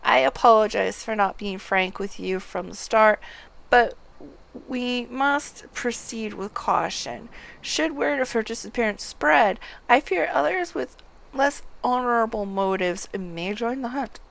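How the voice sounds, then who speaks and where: A woman's voice: on the high side, measured pace, vocal fry, fairly bright tone, low-quality recording. A woman reading aloud the formal, grave lines of an earnest male quest-giver in a fantasy game. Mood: grave, urgent.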